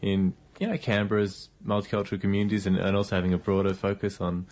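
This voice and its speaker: A man hosts a radio interview from a studio, his voice sitting very low. His questions ramble and hedge, loaded with "you know" and restarts before he lands on the point. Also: level tone, fast talker, a little mellow. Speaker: radio host.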